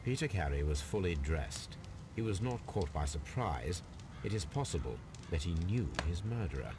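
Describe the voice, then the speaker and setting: An actor playing a calm, analytical gentleman detective in a video game, musing aloud in measured, precise deductions. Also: low voice, noisy background. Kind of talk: video game character voice acting, deliberate deductive monologue.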